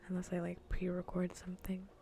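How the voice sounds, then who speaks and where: Female ASMR gaming streamer: low, gravelly murmur slipping into breathy whisper, casual and unhurried. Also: monotone, dark tone. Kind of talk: ASMR gaming livestream chat, soft whispering.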